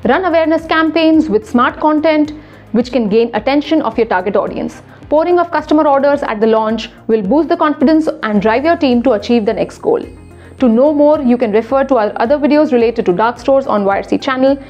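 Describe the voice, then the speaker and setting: A woman's voice: high-pitched, a little mellow, muffled recording, soft background music. A young woman, a retail consultant presenting a scripted explainer to camera in a studio, instructive and emphatic. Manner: brisk, instructive, emphatic with hand gestures.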